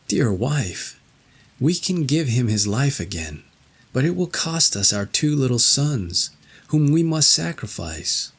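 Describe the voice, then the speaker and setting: A man's voice: mid-range voice, very animated, slow, slightly rough, heavily acted. A man voicing a devout fairy tale king in formal, earnest storybook speech. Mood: solemn.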